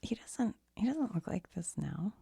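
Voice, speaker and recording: Breathy, whispering woman with soft creaky rasp. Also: fairly low pitch, big pitch swings, a little slow, no echo. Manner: tentative, conversational, often trailing off. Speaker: ASMR video host. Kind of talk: soft-spoken unscripted ASMR ramble.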